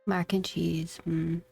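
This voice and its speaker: A woman's voice: fairly low pitch, creaky. A young woman game streamer chatting casually and reacting in a playful, giggly manner. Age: young adult. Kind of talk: casual livestream chat and reactions. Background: faint game music.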